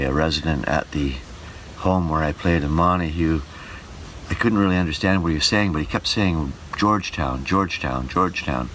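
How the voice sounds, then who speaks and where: Deep-voiced man, casual travel vlog, noisy recording. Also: fairly bright tone. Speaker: radio show host and touring performer filming a travel documentary. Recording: clearly noisy outdoor and vehicle recording.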